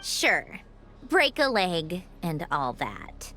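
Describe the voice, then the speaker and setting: A woman's voice: lowish voice, very animated, extremely slow, pushed and bright, soft background music, exaggerated voice. A woman voicing a shrewd, playful female villainous officer in a game, teasing with a drawl. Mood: teasing, amused. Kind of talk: scripted game story dialogue.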